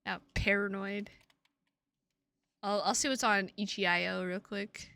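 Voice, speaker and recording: A woman live streaming games, chatting casually with viewers in an excitable, sweary way and repeating her phrases for emphasis. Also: medium pitch, unhurried, vocal fry, no room sound. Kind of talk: casual livestream chat with viewers.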